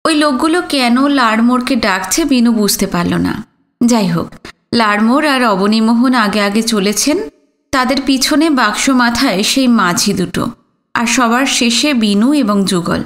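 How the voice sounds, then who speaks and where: A woman's voice: on the high side, a little slow. A woman reading literary fiction aloud as a scripted audio story narration.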